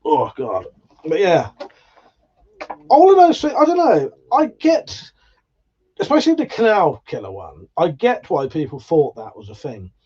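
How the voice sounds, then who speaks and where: A man's voice: a little high, huge pitch swings, a little slow, pauses often, dark tone, husky, muffled recording. A man from England, hosting a casual livestream, reading out chat comments in a sweary, jokey way with frequent laughs. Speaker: livestream host.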